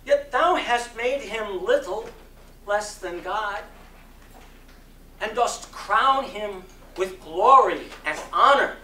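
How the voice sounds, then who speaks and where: A man giving a dramatic sermon reading to a live audience, with deliberate, oratorical phrasing. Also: high-pitched, expressive, pushed and bright. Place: community center hall. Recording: roomy echo, distant mic, faint noise.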